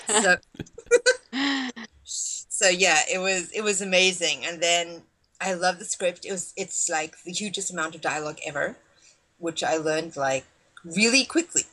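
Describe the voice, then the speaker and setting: A woman's voice: on the low side, measured pace, pauses often, poor audio. A woman with a South African accent, an actress guest in a casual interview, telling her story and acting out quoted lines. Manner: animated, acts out quoted dialogue.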